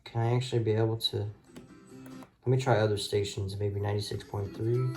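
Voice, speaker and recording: A man's voice: on the low side, steady tone, moderate pace, music in the background. A man casually showing gadgets hands-on, talking in a hesitant, rambling way into a phone camera. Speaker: video host showing a radio collection. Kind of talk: casual hands-on show-and-tell. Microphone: phone camera mic, handheld.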